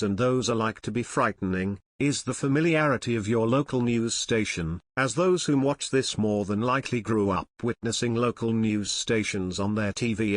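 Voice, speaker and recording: A man's voice: fairly low pitch, dry recording. A man narrating a scripted explainer as a video narrator.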